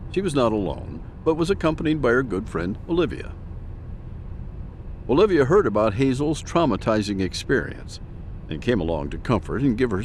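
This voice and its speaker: A man's voice: medium pitch, a little hiss or hum. A man narrating an audio drama in a scripted storytelling style.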